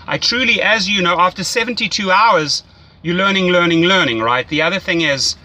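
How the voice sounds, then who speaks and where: A man's voice: high-pitched, brisk, bright, forceful tone, slight background noise, poor audio. A man giving casual, enthusiastic, salesy coaching as a rideshare mentor, often checking in with "right?". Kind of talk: casual coaching phone call.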